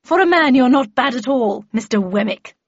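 A woman voicing a brisk, bossy, cheerful older lady mentor in an audiobook. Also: high-pitched, animated, husky, voice pushed up, full character voice. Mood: brisk, gracious. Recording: muffled audio, roomy echo.

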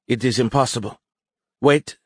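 A man's voice: medium pitch, normal speed, breathy edge, dry recording, clean studio sound, voice pushed up, natural voice. A man voicing a weary, blunt young female alien cadet in an audiobook, speaking formally and with emphatic insistence. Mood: exhausted, adamant.

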